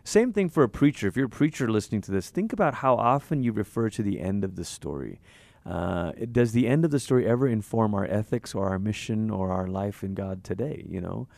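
Animated Malaysian-born pastor, low voice. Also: male voice, vocal fry, no echo. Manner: animated, thoughtful, quick to laugh. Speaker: pastor and author. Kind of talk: conversational interview.

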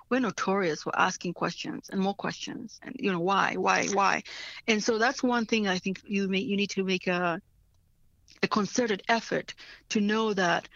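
A woman's voice: mid-range voice, a bit bright, no echo, dull audio. A woman from Africa, a nonprofit leader, answering interview questions earnestly and often checking in with "right?".

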